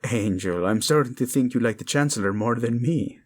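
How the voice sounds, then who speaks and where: An actor playing a protective, lovesick king in a romantic audio drama, speaking tenderly and haltingly with a Spanish accent. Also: mid-range voice, lightly acted. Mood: playful, tender. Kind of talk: intimate romantic audio drama acting addressed to listener.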